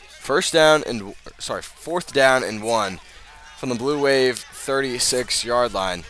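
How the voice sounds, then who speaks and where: A man doing live radio play-by-play of high school football, often halting and correcting himself as he goes. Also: a bit bright. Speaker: play-by-play sports announcer.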